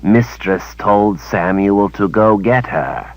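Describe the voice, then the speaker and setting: A man's voice: fairly low pitch, dry recording, voice pushed up. A man doing a whiny squat creature servant. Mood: whining. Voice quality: slow, halting, broken speech.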